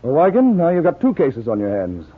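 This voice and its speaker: Husky, terse American morgue doctor. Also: male voice, a little high, huge pitch swings, fairly quick, full character voice. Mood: matter-of-fact. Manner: terse, matter-of-fact. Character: matter-of-fact, unsentimental police morgue doctor. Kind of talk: 1940s radio drama acting. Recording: tinny 1940s radio recording.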